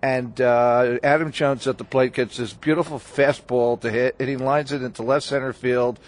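A man speaking in an ordinary middle register, guesting on a radio talk show. He talks loosely and at length, often restarting his sentences and filling the gaps with "uh" and "you know.". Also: medium pitch. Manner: rambling, many restarts and fillers. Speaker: baseball writer, guest. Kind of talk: casual radio interview chat.

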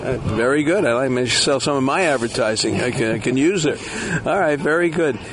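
A man's voice: medium pitch, brisk. A man from Miami interviewing a baseball player, chatty and full of folksy asides. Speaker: interviewer, host. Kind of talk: sports interview. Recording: crowd noise behind.

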